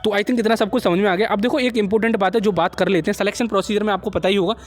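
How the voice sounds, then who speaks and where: A man's voice: high-pitched, fairly even intonation, very fast. A young man with an Indian accent hosting an informal explainer, talking to viewers like friends and often checking that they follow. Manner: chummy, addresses viewers as friends, repeats check phrases. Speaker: job-update video host. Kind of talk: informal explainer of a recruitment notice.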